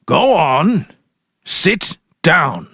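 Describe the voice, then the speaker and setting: A man's voice: on the high side, big pitch swings, drawn-out words, vocal fry, bright, forceful tone, dry recording, studio quality, voice pushed up, heavily acted. A man voicing a weary, brusque senior policeman husband in a dramatic story reading. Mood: impatient, commanding. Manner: terse, brusque.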